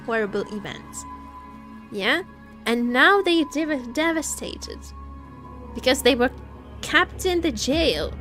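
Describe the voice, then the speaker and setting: Playful, slow-paced, high-voiced woman streamer. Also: fairly bright tone. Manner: playful, teasing. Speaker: streamer playing a story game. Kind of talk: casual live gameplay commentary. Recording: game music behind the voice. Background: game music.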